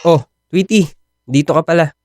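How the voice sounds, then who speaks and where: A man with a Filipino accent playing a young, aloof boyfriend in a radio drama, low-key and hesitant. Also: normal speed, a little mellow, dry recording, studio quality, faint music behind, close to an everyday voice. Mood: casual, aloof. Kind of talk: Tagalog radio drama acting.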